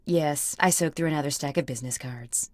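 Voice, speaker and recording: A woman's voice: low voice, a little fast, darkish tone, dry recording, studio quality, close to an everyday voice. A woman playing a clueless, self-important office boss in a comic video game, with a bossy, theatrical delivery. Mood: bossy, oblivious. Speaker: video game character host. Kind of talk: comic scripted phone monologues.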